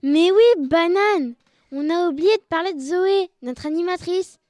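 A young co-host on a children's radio show, cheeky and teasing, reading her lines. Her voice sits very high. The sound is dry and close. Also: unhurried, darkish tone, no echo. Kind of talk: reading a script, then interviewing.

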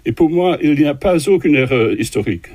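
Older guy, husky voice, dry close sound, lots of euhs and restarts. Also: a little high, lively intonation, darkish tone, no echo. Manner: halting, many fillers and restarts. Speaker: composer guest. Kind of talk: interview answers in a second language.

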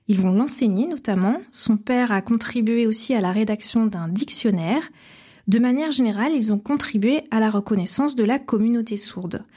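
A woman radio host reads a scripted book review in calm, measured phrases. Her voice sits a touch high, with a mellow, breathy softness. The tone is steady and unhurried throughout.

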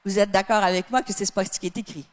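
A Canadian woman with a Québécois accent preaching a sermon to a congregation, stressing phrases emphatically and then dropping to a soft, quiet tone. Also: medium pitch, fairly even intonation. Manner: emphatic, drawn-out phrases with sudden drops to a soft tone. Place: church with live and online audience.

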